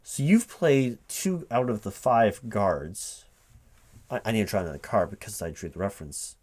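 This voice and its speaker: Man, lowish pitch, spoken ASMR roleplay, casual, hesitant, halting, thinking aloud to an unheard partner. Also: expressive, a little mellow. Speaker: recruiting manager interviewing a gamer in a one-sided roleplay. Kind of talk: spoken ASMR roleplay, casual one-sided conversation, not whispered.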